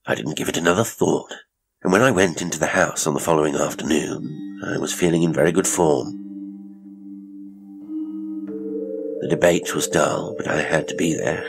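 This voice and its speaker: A man's voice: extremely low pitch, gravelly. A man playing a haughty aristocratic statesman. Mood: uneasy. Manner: haughty, disdainful storytelling of a shameful dream. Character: proud, rattled aristocratic statesman, man of middle years. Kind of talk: audiobook character reading.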